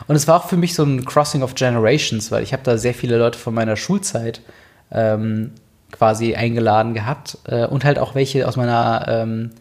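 A man's voice: medium pitch, steady tone, normal speed. A young man co-hosting a podcast, chatting casually and rambling with lots of fillers. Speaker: podcast co-host. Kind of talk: casual chat.

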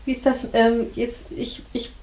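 A woman's voice: on the high side, level tone, measured pace, darkish tone. A woman hosting a podcast who asks questions and adds short affirming murmurs. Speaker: podcast host. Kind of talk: interviewing.

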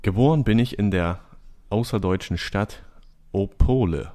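A young man hosting a podcast quiz, reading out clues in a calm, measured way. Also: fairly low pitch. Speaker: quiz host.

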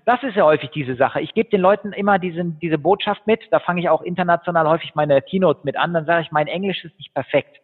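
A man speaking as a guest in an interview, telling stories quickly with fillers. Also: on the high side, husky. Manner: fast, animated storytelling with fillers. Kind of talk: conversational interview. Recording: tinny phone-like line with long reverb.

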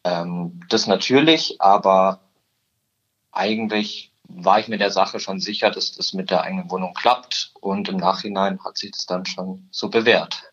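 A man's voice: deep voice, unhurried, a bit bright, husky, dull audio. A nineteen-year-old man from Upper Bavaria, a guest on a podcast, answering with many hesitant fillers. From Upper Bavaria, Germany. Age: teens. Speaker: guest, insurance trainee. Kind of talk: podcast interview.

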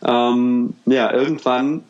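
A man's voice: mid-range voice, steady tone, slow pace, darkish tone. A man in his forties giving a casual interview, speaking hesitantly with many fillers and restarts. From Germany. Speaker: interview guest, restaurant owner. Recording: slightly dull, faint noise.

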